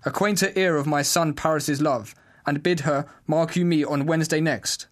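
A man's voice: a little high, fairly even intonation, moderate pace, natural voice. A man playing a domineering, hot-tempered noble father, commanding and declamatory, in a Shakespeare audio drama. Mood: eager, commanding.

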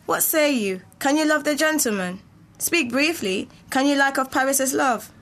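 A woman's voice: high voice, normal speed, fairly bright tone. A woman playing a formal, commanding noble mother in a student Shakespeare audio play. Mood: formal, urging.

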